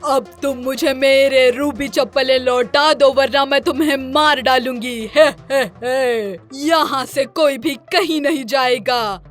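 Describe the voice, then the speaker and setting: A woman voicing a wicked, menacing witch in a children's fairy-tale cartoon, with drawn-out cackling threats. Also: sits high, faint music behind. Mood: gloating menace.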